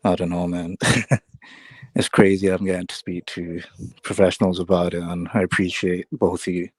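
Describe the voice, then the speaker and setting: A man's voice: low-pitched, little pitch movement, moderate pace, husky, no room sound. A male caller talking casually and laughing nervously.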